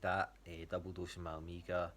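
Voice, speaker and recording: A man's voice: low-pitched, completely flat delivery, normal speed, fairly bright tone, slight background noise. A Scottish man giving a casual spoken check-in, talking in a hesitant, rambling way. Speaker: athlete giving a training check-in to a coach.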